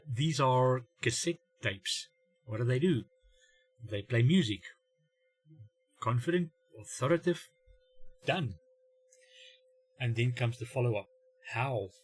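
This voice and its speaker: A middle-aged man telling a humorous story in a wry, self-mocking way, with dramatic pauses and bits of acted-out dialogue. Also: medium pitch, slightly rough. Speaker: host of a family humour channel. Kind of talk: comedic storytelling monologue.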